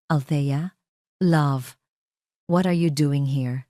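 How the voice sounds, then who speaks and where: A woman's voice: sits low, drawn-out words, dark tone, dry recording, clean studio sound. A woman with a Filipino accent voicing a confident, self-important businessman husband in an audio drama. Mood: confused, annoyed. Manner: grand, boastful speechmaking.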